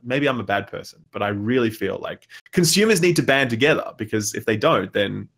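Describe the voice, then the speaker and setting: A man's voice: medium pitch, lively intonation, fairly quick, fairly bright tone. A man giving his opinions bluntly and emphatically in a casual discussion, swearing freely. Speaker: podcast guest.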